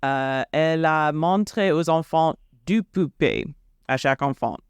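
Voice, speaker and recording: A man interviewing a guest on a podcast, speaking haltingly with frequent fillers. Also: fairly high pitch, clear, resonant tone, no room sound. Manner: slow and halting, frequent "euh". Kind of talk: interview questions and reactions.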